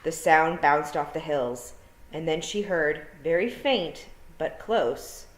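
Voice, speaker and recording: A woman teacher reading a children's novel aloud in an expressive, unhurried storytelling manner. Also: low voice. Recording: roomy echo.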